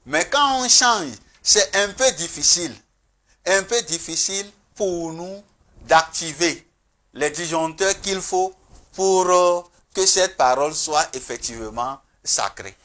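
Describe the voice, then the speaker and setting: A man speaking as a traditional spiritual guest on a TV talk show, earnest and emphatic, with pauses and restarts. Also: high voice, animated, sharp tone.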